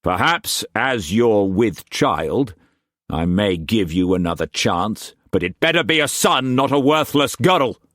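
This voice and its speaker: A man's voice: on the low side, animated, unhurried, a bit bright, no echo, clean studio sound, voice pushed up. A man voicing a furious, domineering master who barks harsh commands at his household. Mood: stern, cold.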